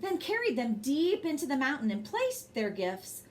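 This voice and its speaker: A woman's voice: high voice, sing-song, normal speed, slight background noise. A woman reading a children's bedtime story aloud expressively, with brief asides to her young listeners. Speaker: storybook reader and host.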